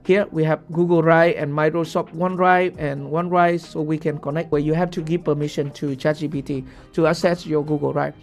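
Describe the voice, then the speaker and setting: A young man whose first language is Vietnamese talks through a software tutorial step by step, often pausing to ask "okay?". His voice sits a little high with a gravelly fry. Faint music plays quietly beneath him in a small room. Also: level tone. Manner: explains step by step with frequent "okay?". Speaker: tutorial host. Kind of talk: screen-share software tutorial.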